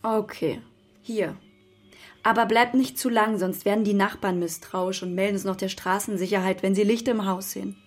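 Casting: actress for everyday mum, middle pitch, careful and practical, faint music. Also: female voice, steady tone, moderate pace, lightly acted. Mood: cautious. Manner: matter-of-fact, cautioning. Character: girl's cautious, practical mother. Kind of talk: children's radio drama acting.

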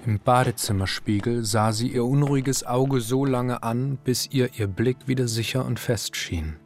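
Literary radio drama narrator, male actor: composed, serious, measured storytelling in a middle register. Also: medium pitch, darkish tone, soft background music, close to an everyday voice. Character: composed literary narrator observing a troubled wife.

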